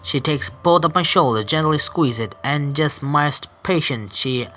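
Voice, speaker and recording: A man streaming a visual novel game, reading the narration aloud haltingly, stumbling over words and correcting himself. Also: a little high, fairly bright tone, a little hiss or hum.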